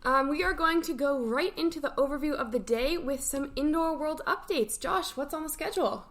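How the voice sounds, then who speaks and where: A woman with a high voice hosts a casual morning show. She reads out announcements in an upbeat way, with a playful, chatty touch. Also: normal speed, a bit bright. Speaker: camp morning show host.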